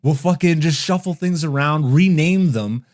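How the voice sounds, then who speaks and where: A man's voice: a little high, moderate pace, a little mellow. A man hosting a comedy podcast, ranting casually and brashly with lots of swearing and laughing through his words. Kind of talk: casual comedic rant and chat.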